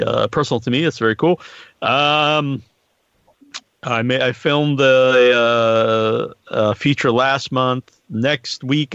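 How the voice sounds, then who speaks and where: A middle-aged man hosts a casual podcast with a rambling, halting delivery and a crude, joking streak. The sound is very dry and a bit muffled, like a lo-fi online stream. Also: medium pitch, fairly bright tone, no echo. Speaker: podcast host.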